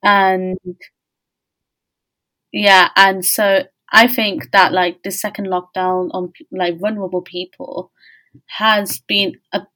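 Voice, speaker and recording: A woman's voice: medium pitch, little pitch movement, takes it slowly, pushed and bright, poor audio. A woman hosting a podcast in casual interview chat, talking informally and often saying "like". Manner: informal, frequent "like". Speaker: podcast host.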